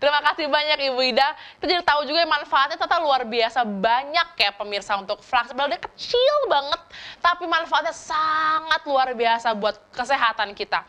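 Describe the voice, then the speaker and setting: A woman hosting a talk show, chatty and lively, with many small tag words. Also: sits high, a little fast. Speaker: host.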